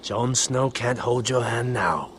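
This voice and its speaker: An actor playing a sadistic, vengeful soldier villain in a video game, sneering and taunting. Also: male voice, mid-range voice, takes it slowly, background noise, lightly acted. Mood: cruel, gloating. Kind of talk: dramatic game dialogue.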